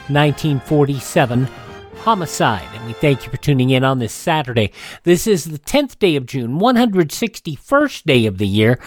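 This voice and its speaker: A man's voice: a little high, darkish tone, no echo. A man narrating as a radio host, reading scripted historical notes.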